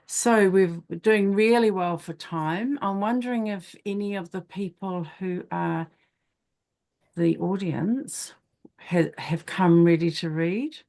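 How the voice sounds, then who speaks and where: A woman's voice: on the low side, takes it slowly, gravelly, a little mellow. A woman informally hosting an online poetry event.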